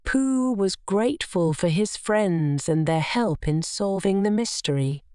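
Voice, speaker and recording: A woman's voice: medium pitch, lively intonation, unhurried, warm tone, clean tone, no echo, clean studio sound. A woman narrating scripted bedtime stories for children as a storyteller.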